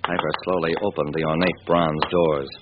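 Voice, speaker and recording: An actor playing a wry, hard-boiled private detective narrating an old-time radio drama, on a tinny, noisy recording. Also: male voice, rumbling low, little pitch movement, normal speed.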